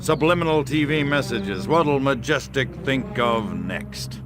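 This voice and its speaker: A man playing a gruff, sarcastic government agent in a video game, with a hard-boiled, dismissive manner. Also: mid-range voice, animated, a little slow, natural voice. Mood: dry, sarcastic. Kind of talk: video game cutscene acting. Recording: music behind the voice.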